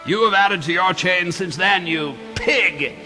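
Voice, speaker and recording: A tormented ghost of a dead miser in a live radio play, wails and declaims in a high, gravelly voice. The delivery is mournful and theatrical, with a sharp edge. It rings in a long hall reverb with music behind. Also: male voice, a little slow, full character voice. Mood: grave reproach. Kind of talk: live radio drama acting.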